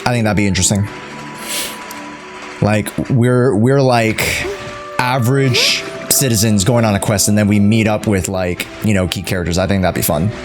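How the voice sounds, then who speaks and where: A man's voice: on the low side, fairly even intonation, moderate pace, music in the background. A young man streaming a game with casual, playful, chatty commentary. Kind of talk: casual live gaming commentary.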